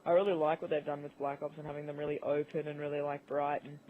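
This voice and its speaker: A man's voice: a little high, monotone, quick pace, narrow, tinny audio, slight background noise. A man in a casual gaming chat over an online voice call, with game audio behind him. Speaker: podcast guest. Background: video game audio.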